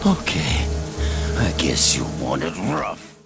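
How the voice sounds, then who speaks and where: An actor playing a sadistic prison guard who talks slowly and menacingly, in a video game cutscene. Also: male voice, rumbling low, huge pitch swings, vocal fry, over loud music, exaggerated voice. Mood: leering. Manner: slow, leering, menacing. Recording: game cutscene with loud score and rain.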